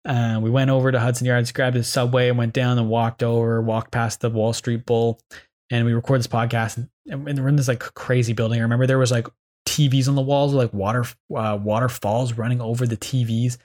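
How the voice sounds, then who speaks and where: A man's voice: mid-range voice, level tone, fairly quick, darkish tone. Casual rambling Canadian man. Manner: rambling, enthusiastic storytelling with many fillers. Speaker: podcast host. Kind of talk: casual reflective monologue.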